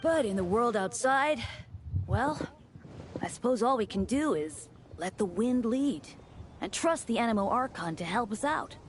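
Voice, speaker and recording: A woman playing a composed, devout church figure in a fantasy video game, speaking in a measured, formal way. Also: fairly high pitch, lively intonation, a little hiss or hum. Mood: composed, resigned.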